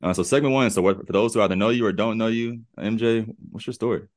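A man hosting a casual podcast interview, energetic and full of fillers. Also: fairly low pitch, fast, no room sound, studio quality. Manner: energetic, frequent fillers and "y'all". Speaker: podcast host.

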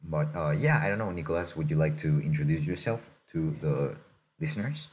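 A young man from Mexico talks through life lessons in a relaxed podcast chat, thinking aloud and checking in with "right?". His voice sits extremely low, a deep rumble under every phrase. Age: young adult. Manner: reflective, unhurried, often tags "right?". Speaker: podcast co-host.

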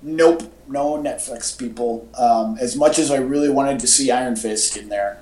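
A man's voice: mid-range voice, moderate pace, darkish tone. Man chatting casually, echoey recording. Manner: enthusiastic fan talk with casual slang. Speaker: podcast host. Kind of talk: casual pop-culture podcast chat. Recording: echoey, roomy home podcast audio.

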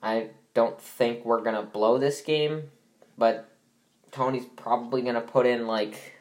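A man's voice: medium pitch, fairly even intonation, a little slow, darkish tone. A young man hosting a casual sports podcast, sounding stuffy from allergies and rambling with many fillers and restarts. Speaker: podcast host.

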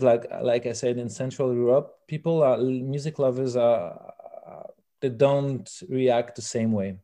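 A man's voice: medium pitch, mellow. A man from France with a French accent answers interview questions conversationally, searching for his words and repeating himself. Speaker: interviewed band musician.

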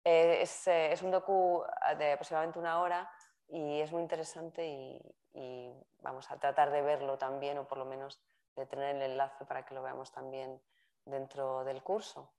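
Low-pitched woman host, casual and self-correcting, introducing a speaker. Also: level tone, moderate pace, darkish tone. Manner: chatty, self-correcting, laughs at own slips. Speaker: course organizer introducing the speaker. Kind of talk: informal spoken introduction. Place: university lecture room.

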